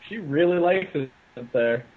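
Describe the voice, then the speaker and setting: Casual male film riffer, high-pitched, joking among friends, thin lo-fi line. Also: animated, moderate pace. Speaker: co-host of a movie riffing show.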